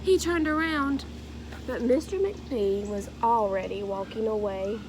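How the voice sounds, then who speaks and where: A woman narrating a children's storybook aloud in an expressive, deliberate way. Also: fairly high pitch, background noise.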